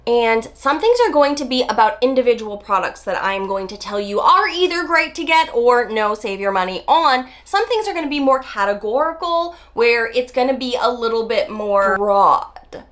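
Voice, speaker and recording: Beauty vlogger, woman in her 40s, a bit nasal from a cold, chatty and emphatic, highish voice. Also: animated, fairly bright tone. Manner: chatty, emphatic, drawn-out stresses. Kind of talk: casual talk to camera.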